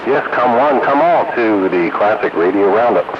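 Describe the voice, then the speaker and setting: Hissy radio man, low animated voice. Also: normal speed. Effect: CB radio transmission. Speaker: amateur radio operator hosting an on-air roundup. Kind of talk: casual radio chat. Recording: noisy hissy muffled two-way radio transmission.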